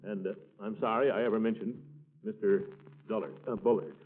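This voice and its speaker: An actor playing a pompous, blustering middle-aged water commissioner in 1940s radio comedy, eager and easily flustered. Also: male voice, on the low side, fairly even intonation, brisk, lightly acted. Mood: contrite, earnest. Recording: muffled, noisy 1940s radio recording.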